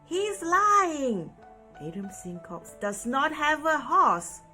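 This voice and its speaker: A woman's voice: high-pitched, very animated, takes it slowly, bright, forceful tone, soft background music, voice pushed up, heavily acted. A woman voicing an opinionated, judgmental young schoolgirl from a storybook with emphatic delivery. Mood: accusing, yelling.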